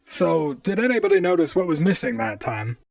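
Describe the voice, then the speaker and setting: A man's voice: a little high, sing-song, normal speed, vocal fry, far from the mic, noisy background. A man playing an earnest, inquisitive robot private detective in an audio drama. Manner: earnest, questioning, narrates his own cases. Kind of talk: audio drama acting and narration.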